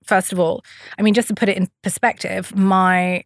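A woman's voice: mid-range voice, level tone, normal speed, no room sound. Woman, from the Emirates, young adult, interview guest, hesitant and reflective, dry close sound. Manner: thoughtful, hesitant, many fillers and restarts.

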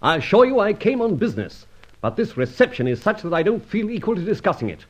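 Suave British gentleman adventurer, huskily animated. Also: high-pitched, fast talker. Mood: coolly indignant, polite. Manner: well-spoken, wordy, ironically polite. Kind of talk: old radio serial acting. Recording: muffled old radio serial recording.

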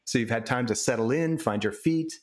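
A man's voice: mid-range voice, fairly quick. A man hosting a podcast interview who asks his questions in an eager, friendly way. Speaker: podcast host. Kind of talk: conversational interview.

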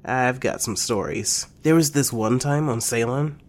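A man's voice: mid-range voice, measured pace, lightly acted. A man playing an easygoing, self-effacing fellow in an audio drama, casual, rambling and tentative. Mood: friendly, tentative.

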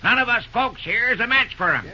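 High, sharp-voiced rustic American man. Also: animated, fairly quick, exaggerated voice. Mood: stubborn, earnest. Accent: rural American. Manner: folksy, blunt drawl with homespun grammar. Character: plain-spoken stubborn rustic stockholder, folksy and indignant. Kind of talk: old-time radio drama acting. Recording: dull old radio recording.

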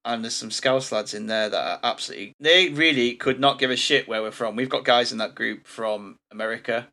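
A man, hosting a casual podcast chat in a relaxed, rambling way with frequent ums. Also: mid-range voice, fairly quick, vocal fry. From England. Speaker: podcast host.

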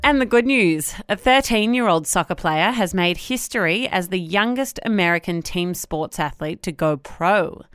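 Australian woman, news podcast host, crisp lowish voice, presenting and interviewing in a dry studio sound. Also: animated, moderate pace, few pauses, a bit bright, no room sound, faint music behind.

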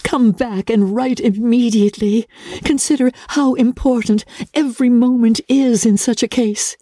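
A woman voicing a spirited, earnest young gentlewoman in a dramatic reading of a classic novel, with emphatic, formal period speech. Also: fairly high pitch, steady tone, moderate pace, dry recording, studio quality, pitched above the performer's own voice, natural voice. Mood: urgent pleading.

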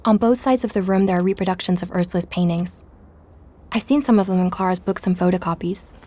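A woman's voice: fairly low pitch, fast, dark tone, lightly acted. An actress playing an introspective young woman who narrates her own investigation in a scripted first-person audio drama. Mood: observant, intent. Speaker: narrator and protagonist.

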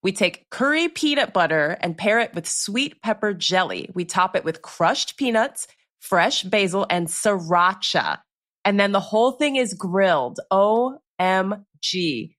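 An American woman hosting a casual interview in a conversational, expressive way. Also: mid-range voice, measured pace. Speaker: interviewer, host. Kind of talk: casual interview, reading menu aloud.

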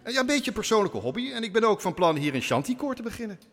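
An older village man, with a notably high voice that swings up and down. He is genial and chatty in an audio-drama way, rambling on effusively and drawing out his exclamations. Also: big pitch swings, quick pace, long reverb tail, full character voice. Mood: modest, chatty. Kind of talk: naturalistic Dutch audio drama dialogue.

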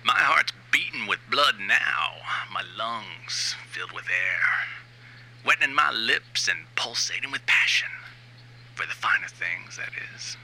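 A man's voice: on the low side, animated, unhurried, creaky, bright, forceful tone, slight background noise, exaggerated voice, poor audio. A man playing a cynical, money-hungry aging new wave frontman in a satirical monologue, ranting in a sardonic, profane, emphatic way. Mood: sardonic, intense.

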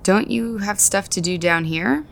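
A woman's voice: medium pitch, unhurried, gravelly, a bit bright, slight background noise. An actress playing a guarded, reserved woman in a naturalistic audio drama, giving understated, noncommittal answers. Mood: reserved, hesitant.

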